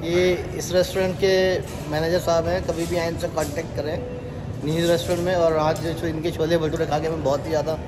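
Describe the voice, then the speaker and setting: A man's voice: a little high, flat delivery, a little fast, background noise. A man in his forties filming a casual food vlog on a phone camera, chatting cheerfully between bites. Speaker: food vlogger. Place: restaurant dining area, later car. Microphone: phone camera on table.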